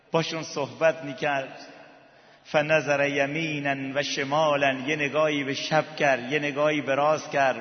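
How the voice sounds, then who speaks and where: High-voiced male eulogist in a reverberant hall. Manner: impassioned, plaintive, drawn-out dramatic delivery. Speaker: religious eulogist and preacher. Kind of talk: mournful religious storytelling with chanted lines. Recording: muffled, long hall reverb.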